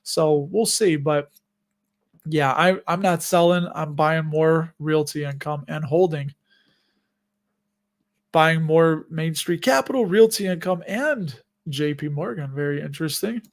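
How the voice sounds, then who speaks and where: A middle-aged man with a Chicago accent chats loosely through viewer questions on his livestream. His voice sits a little on the high side, and he often breaks off mid-thought and laughs at his own jokes. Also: measured pace. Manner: rambling and chatty, with frequent restarts and self-amused laughs. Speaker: host of a dividend investing livestream. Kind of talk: casual livestream chat answering viewer questions.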